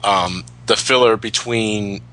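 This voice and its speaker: A man's voice: fairly low pitch, steady tone, slow pace, sharp tone, rough recording. A man in a casual fan discussion, ranting emphatically and swearing freely. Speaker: commentary show host.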